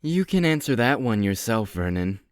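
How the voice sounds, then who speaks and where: A man's voice: medium pitch, big pitch swings, normal speed, no echo, studio quality, natural voice. A man voicing a sly, mocking young vampire rival in an amateur roleplay. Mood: teasing.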